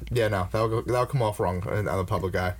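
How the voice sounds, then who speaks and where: A man's voice: lowish voice, quick pace. A man in his early twenties hosting a casual podcast, rambling with fillers and swearing. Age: early 20s. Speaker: podcast host.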